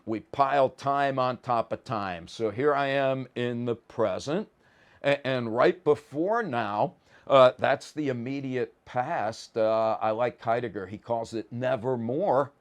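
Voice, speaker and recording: A man lecturing informally on a course, explaining ideas in colloquial language with plain everyday examples and often stammering slightly as he starts a phrase. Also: mid-range voice. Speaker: lecturer in a psychology and philosophy course.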